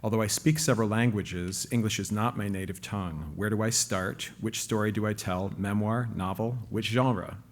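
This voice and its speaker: Man reading questions, lowish voice, plain hesitant delivery. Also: normal speed, few pauses. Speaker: event moderator.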